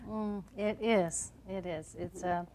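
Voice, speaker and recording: A woman's voice: medium pitch, slow, a little hiss or hum. A woman hosting a panel talk show, affirming her guests and guiding the talk. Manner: affirming, steady listening murmurs.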